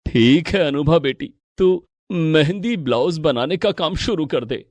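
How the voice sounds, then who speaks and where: A man's voice: fairly high pitch, animated, unhurried, darkish tone, dry recording. A man voicing a concerned, stern middle-aged father in a family story, speaking earnestly. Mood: reluctant. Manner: earnest, admonishing.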